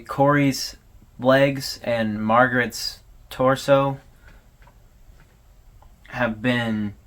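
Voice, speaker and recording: A man's voice: mid-range voice, fairly bright tone. Casual, hesitant man doing video commentary. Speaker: host of a horror series analysis video.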